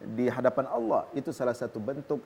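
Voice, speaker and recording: An Indonesian man preaches at an easy pace in a television studio. His voice sits at an average pitch, and faint noise hums behind it. He quotes Arabic scripture and then explains each point. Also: brisk, creaky. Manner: calm preaching, quoting Arabic scripture and translating it. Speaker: Islamic preacher answering viewer questions. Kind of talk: religious talk on a television program.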